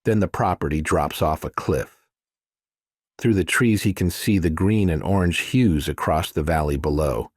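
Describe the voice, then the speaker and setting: A man's voice: low-pitched, no echo, studio quality. A man reading scripted thriller narration as an audiobook narrator.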